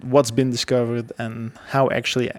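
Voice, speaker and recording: A man's voice: mid-range voice, level tone, moderate pace. A man in his thirties from Belgium, a guest speaking casually and hesitantly with many fillers and restarts. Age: 30s. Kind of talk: casual conversational interview.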